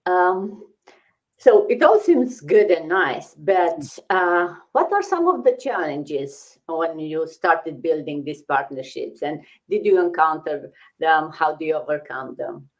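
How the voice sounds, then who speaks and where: A woman's voice: low-pitched, sing-song, takes it slowly. A woman hosting a casual business talk, encouraging and thinking aloud with frequent fillers and "right?" checks. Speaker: host.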